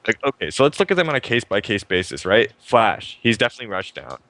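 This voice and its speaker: A young man hosting a live stream show, talking fast and upbeat about a fighting game and often restarting his sentences. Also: fairly low pitch, sing-song, creaky, bright, forceful tone, no echo. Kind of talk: casual game commentary.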